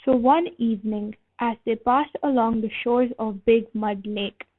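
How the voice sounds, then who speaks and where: A woman reads a children's tale aloud with expressive storytelling. Her voice sits a little high. The recording is dry and narrow, like a phone line. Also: a little slow, no room sound. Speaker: storybook reader. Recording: phone-like thin band, dry.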